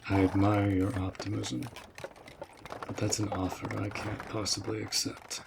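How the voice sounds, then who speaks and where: A man playing a protective, courteous orc general in a fantasy audio roleplay, speaking in a measured, formal, earnest way. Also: fairly low pitch, little pitch movement, vocal fry, noisy background. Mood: gentle, earnest.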